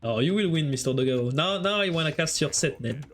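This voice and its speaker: A man's voice: a little high, animated, fairly quick, faint music behind. French-accented man casting a strategy game. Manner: chatty, answers chat while casting. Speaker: game caster and streamer. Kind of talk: live stream game commentary.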